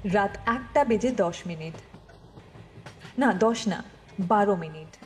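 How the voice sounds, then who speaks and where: A woman's voice: medium pitch, animated, slow, slight background noise. A woman reading a scripted story as a dramatic audiobook narrator.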